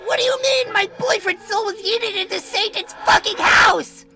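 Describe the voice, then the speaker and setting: A woman's voice: very high-pitched, normal speed, a crowd in the background. A woman voicing a furious, foul-mouthed, protective teenager in a story reading, scolding and threatening. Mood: outraged. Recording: music behind the voice.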